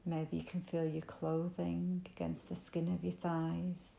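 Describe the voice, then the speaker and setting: A woman with a mellow, creaky voice guides a meditation gently and soothingly. Her delivery stays very even and invitational, with unhurried pauses between phrases. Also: lowish voice, reverberant, a little hiss or hum. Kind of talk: guided body scan meditation.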